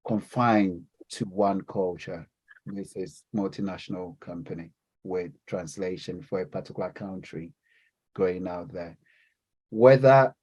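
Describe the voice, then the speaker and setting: A man teaching a class and leading the discussion. His voice sits a little low, and he talks haltingly, full of fillers and self-corrections. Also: darkish tone. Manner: hesitant, many fillers and restarts. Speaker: lecturer.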